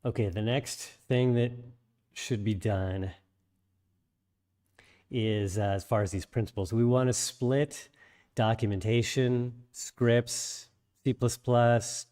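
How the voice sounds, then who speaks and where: A man with a low voice that is slightly raspy after an illness talks through a coding tutorial. He explains step by step with frequent ums and restarts. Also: a little mellow. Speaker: programming instructor. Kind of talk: screen-share coding tutorial.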